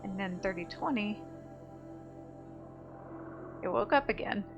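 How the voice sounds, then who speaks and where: A woman's voice: mid-range voice, slow, muffled recording. A woman giving offhand, casual commentary as she plays a game, thinking aloud. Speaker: gamer, let's player. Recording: clear game music behind.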